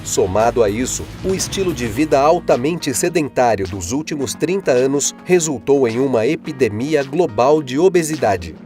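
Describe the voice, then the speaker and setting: A man's voice: medium pitch, normal speed, few pauses. Formal male narrator over guitar music. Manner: even, formal reading. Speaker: video narrator. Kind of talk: scripted documentary narration.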